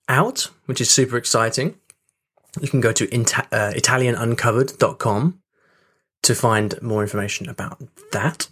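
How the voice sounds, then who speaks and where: A man's voice: mid-range voice, slightly rough. A man hosting a podcast in casual solo chat, talking in a chatty, rambling way with restarts. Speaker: podcast host. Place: home room with rain on the windows.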